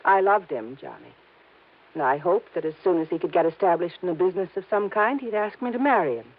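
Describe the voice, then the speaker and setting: Nervous rich young woman in an old radio play, earnest and halting, tinny recording. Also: fairly low pitch, animated. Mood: anxious. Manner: anxious, hesitant, earnest. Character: worried young wealthy woman, Johnny's college friend. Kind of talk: 1950s radio drama acting.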